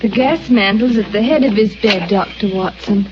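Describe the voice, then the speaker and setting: A woman's voice: mid-range voice, normal speed, over music, lightly acted. An actress playing an anxious, tender young woman in a 1940s radio play. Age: young adult. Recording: muffled old radio broadcast with hiss.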